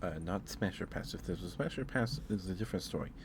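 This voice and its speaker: A soft-spoken man chatting casually as an ASMR livestream host, in a hesitant, rambling way. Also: sits low, expressive, quick pace, creaky, background noise. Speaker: live streamer and host.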